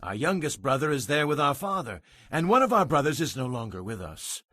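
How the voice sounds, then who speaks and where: A man's voice: mid-range voice, very animated, a little fast, sharp tone, no room sound, studio quality. A man playing an anxious, humble brother from Canaan, pleading earnestly in a dramatized audio Bible. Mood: pleading, deferential.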